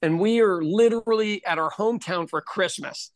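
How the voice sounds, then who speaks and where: A middle-aged man hosting a podcast in casual conversation, telling stories with lively energy and acting out the voices of people he quotes. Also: high voice, normal speed, dry recording, clean studio sound. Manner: animated storyteller, acts out quoted voices. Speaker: podcast host.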